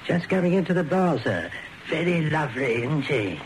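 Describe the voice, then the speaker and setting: A man's voice: fairly high pitch, big pitch swings, normal speed. An actor playing a polite, discreet, knowing barman in a radio comedy drama. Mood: discreet, deferential. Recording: noisy radio broadcast recording.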